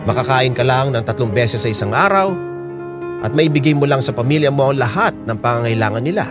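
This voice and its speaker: A man's voice: mid-range voice, lively intonation, fairly quick, close to an everyday voice. A man voicing a fifty-year-old former overseas worker who narrates his own story in a radio drama, with music under his voice. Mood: reflective.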